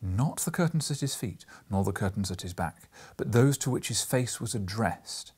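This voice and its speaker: A man reading an audiobook as dramatic literary narration, theatrical and sometimes hushed, with emphatic stresses. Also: lowish voice, expressive, normal speed, slightly rough. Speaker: audiobook reader.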